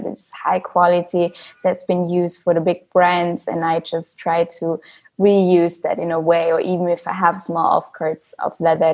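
A woman's voice: on the low side, darkish tone. A young woman from Austria, a handbag designer, answering interview questions in a loose, chatty way with frequent fillers. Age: young adult.

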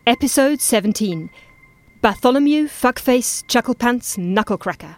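Female announcer for scripted show credits, fairly high voice, music underneath. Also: expressive, normal speed, a little mellow, no room sound.

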